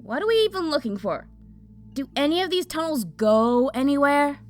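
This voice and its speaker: A woman's voice: high-pitched, sing-song, moderate pace, faint music behind. A woman playing a hot-tempered young fire mage in an audio drama, brash, urgent and demanding. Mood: impatient.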